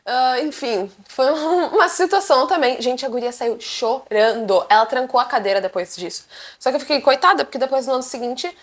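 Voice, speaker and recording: A young woman with a Brazilian Portuguese accent, chatting to camera as a vlogger and telling stories in a lively, animated way. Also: high voice, brisk. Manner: animated, chatty, acts out quotes. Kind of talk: casual storytelling to camera.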